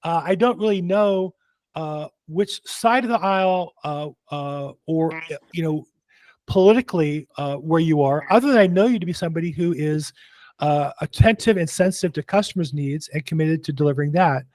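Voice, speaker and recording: A man's voice: on the high side, normal speed, a little mellow, no echo. A man hosting a casual interview, chatty and joking, with lots of fillers. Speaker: host.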